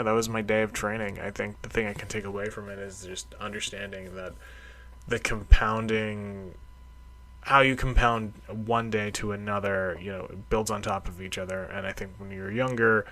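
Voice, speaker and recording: A man's voice: on the low side, sharp tone, rough recording. A middle-aged man talking casually in a solo audio diary, reflective and rambling, with a self-deprecating streak. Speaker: karate practitioner keeping a training log.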